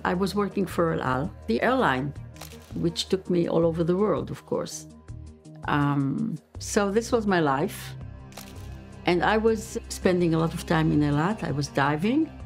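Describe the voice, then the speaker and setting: A woman's voice: fairly low pitch, expressive, measured pace, soft background music. A woman in her fifties whose first language is German, speaking reflectively in a documentary interview. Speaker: interviewee, former undercover agent.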